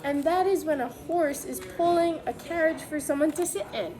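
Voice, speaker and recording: A woman reading a picture book aloud to young children, pausing to explain things simply as a preschool teacher would. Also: high-pitched, a little mellow.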